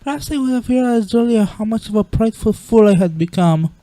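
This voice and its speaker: A woman playing a gentle, proper, remorseful monster queen and mother in a dramatic comic dub, with formal, refined diction. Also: on the high side, expressive, fairly quick, no echo, lightly acted, low-quality recording. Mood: anguished, self-blaming.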